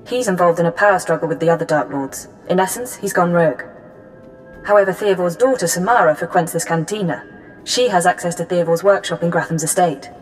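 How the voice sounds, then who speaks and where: An actress playing a cool, businesslike female intelligence handler in a video game, giving briefings with crisp control. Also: on the low side, a little fast, lightly acted, rough recording. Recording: music under the voice.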